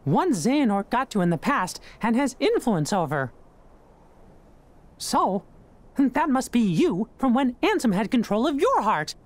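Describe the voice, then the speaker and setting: An actor voicing a small, earnest, plucky cartoon mouse in a video game, in a squeaky falsetto. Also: male voice, very animated, unhurried, heavily acted. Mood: serious, explaining.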